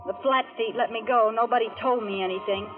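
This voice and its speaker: An actress playing a wisecracking young redheaded dance hall hostess in a 1940s radio noir drama, slangy and hard-boiled. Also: female voice, fairly high pitch, quick pace, natural voice. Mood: tense narrating. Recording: tinny old radio broadcast, music behind.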